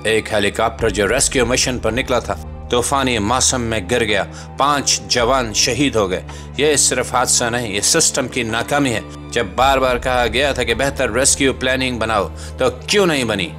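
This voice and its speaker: A man's voice: medium pitch, normal speed, a bit bright. Solemn Pakistani man narrating over music. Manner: solemn, rhetorical, emphatic. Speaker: host and narrator of a commentary channel. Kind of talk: scripted dramatic documentary voice-over. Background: background music.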